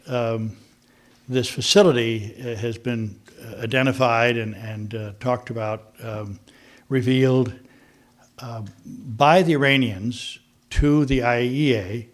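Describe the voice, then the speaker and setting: An older man, a former diplomat, giving an unscripted talk on foreign policy, halting with many fillers and restarts. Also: medium pitch.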